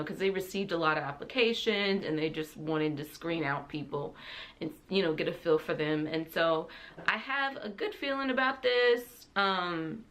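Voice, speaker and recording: Young woman vlogger, slightly low voice. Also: fairly bright tone. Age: young adult. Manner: giggly, self-deprecating, rambling. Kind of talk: casual, chatty vlog talk to camera.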